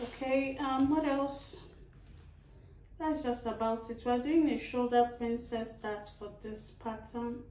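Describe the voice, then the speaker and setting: A woman's voice: a little high, takes it slowly, a little hiss or hum. A woman in her thirties with a Nigerian accent, giving a chatty sewing tutorial and often tagging her sentences with "okay?". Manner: lively, animated, tags phrases with "okay?". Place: small indoor sewing studio. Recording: roomy, slightly distant.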